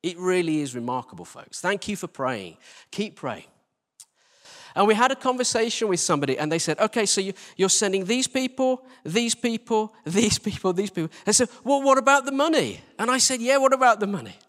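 High-pitched British man preaching, reverberant hall. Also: big pitch swings, a little fast, fairly bright tone. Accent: British English. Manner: conversational, self-deprecating, with rhetorical questions and repetition. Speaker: church team leader preaching. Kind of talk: informal sermon to a congregation. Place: large reverberant indoor church space. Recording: live church hall, long reverberation.

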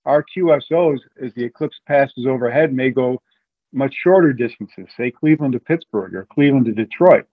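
A man's voice: medium pitch, normal speed. Guy from ohio explaining radio stuff, repeats himself a lot, kinda muffled lo-fi sound. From Ohio, USA. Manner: explanatory, with frequent restarts. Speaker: guest. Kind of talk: interview explanation.

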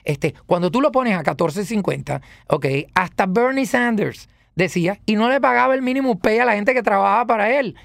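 Venezuelan male, high register with some creak, emphatic political talker who leans on rhetorical questions. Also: lively intonation, normal speed, hardly any pauses, fairly bright tone, dry recording, clean studio sound. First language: Italian. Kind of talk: political rant in conversation.

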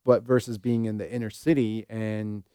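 A man with a fairly low voice chats reflectively as a co-host. He is tentative and reasons things out as he goes. The recording is dry and free of room sound. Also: flat delivery, moderate pace, warm tone, clean tone, no room sound. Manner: tentative, thinking aloud. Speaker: therapist co-hosting a talk show. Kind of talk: casual reflective discussion.

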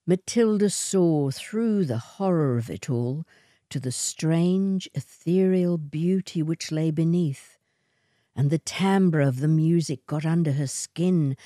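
A woman narrates literary fiction with a slow, measured delivery. Her voice is fairly low and mellow, atmospheric in manner, recorded close and dry. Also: no room sound. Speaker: short story reader.

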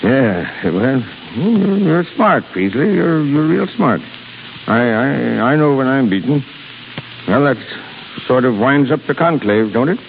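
A man's voice: medium pitch, animated, takes it slowly, gravelly. An actor playing a crusty, blustering old shipping boss in his sixties, sputtering salty seafaring talk in 1930s radio style. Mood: defeated. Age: 60s. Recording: crackly, tinny 1930s radio broadcast.

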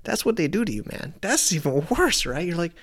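A man's voice: high-pitched, big pitch swings, brisk, a bit bright, breathy edge. A man in his late thirties, an internet marketer, ranting in a casual vlog in an exasperated, repetitive way. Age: late 30s.

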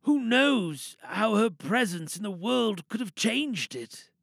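A man acting a remorseful, guilt-laden confessor in an audio drama monologue, reflective and halting, with a creaky voice. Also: high-pitched, big pitch swings, a bit bright, no room sound, studio quality, exaggerated voice. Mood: remorseful, subdued. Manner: halting, reflective, plain-spoken. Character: remorseful man confessing to having taken a woman's life.

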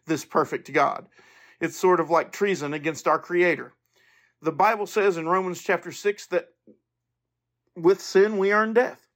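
A man's voice: high voice, a bit bright. A man hosting a podcast, speaking informally and earnestly in a monologue to listeners. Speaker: podcast host.

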